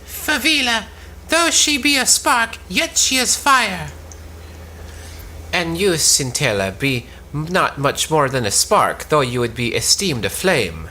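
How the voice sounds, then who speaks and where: A woman reading as a bright, haughty, quarrelsome gentlewoman. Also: sits low, sing-song, takes it slowly, slight background noise, heavily acted, low-quality recording. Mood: scornful. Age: young adult. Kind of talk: cold read of Elizabethan stage comedy.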